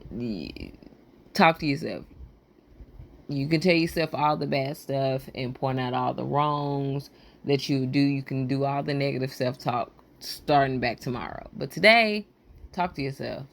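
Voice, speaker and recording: A woman hosting a motivational podcast, talking in a loose, rambling way with a raspy, froggy voice, hoarse and short on sleep. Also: rumbling low, unhurried. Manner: loose, rambling encouragement with casual slang. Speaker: podcast host.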